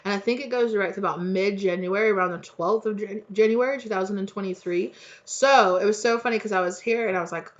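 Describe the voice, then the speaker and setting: A woman's voice: medium pitch, quick pace, highs cut off. A woman from the United States reading tarot for her viewers in a rambling, chatty way, congested and coughing from allergies. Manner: chatty, rambling, laughs often. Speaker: tarot reader. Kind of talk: casual spiritual chat to viewers.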